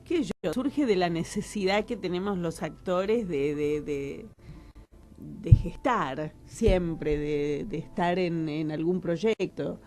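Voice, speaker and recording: A woman's voice: fairly low pitch, slow, dark tone, faint music behind. A woman with an Argentine accent, an actress and writer, talking casually as a radio guest in a rambling, hesitant way. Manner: rambling, conversational, hesitant with repeated words.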